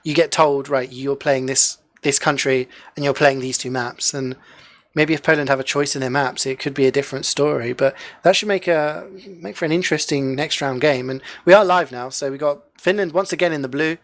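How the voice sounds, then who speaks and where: A man casting a live online game match in a relaxed, chatty way, leaning on filler phrases and light jokes. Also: fairly high pitch, a little fast. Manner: chatty, heavy use of "you know" fillers, joking. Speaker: esports caster. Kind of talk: casual live game commentary.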